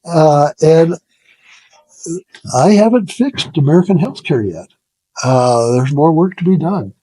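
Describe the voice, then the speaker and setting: A man in his seventies talks reflectively as an interview guest, in a slightly husky voice. He is halting and self-deprecating, often pausing to find the word. The sound is dry and close. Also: a little high, expressive, warm tone, no room sound, rough recording. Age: 70s. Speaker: interview guest, retired business founder. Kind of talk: casual reflective storytelling in an interview.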